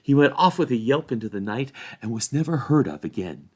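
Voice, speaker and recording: A man reading a fantasy storybook aloud as an expressive narrator. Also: medium pitch, fairly quick, husky. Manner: acts out dialogue with changed voices. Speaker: volunteer story reader.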